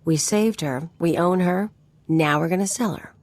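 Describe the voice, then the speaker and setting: A woman's voice: fairly low pitch, moderate pace, darkish tone, no room sound, lightly acted. An actress voicing a cynical, streetwise mercenary woman in a video game, flippant and taunting in clipped, slangy phrases. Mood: cold, scheming. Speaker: companion character in a video game. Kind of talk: video game voice acting, casual dialogue. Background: music.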